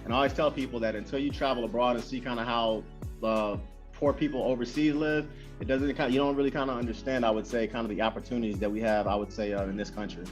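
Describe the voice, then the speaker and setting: A man hosting a casual interview, talking quickly and loosely with many fillers and repeated phrases. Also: mid-range voice. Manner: fast, animated, lots of fillers and repeats. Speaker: host. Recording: dull lo-fi sound with faint music.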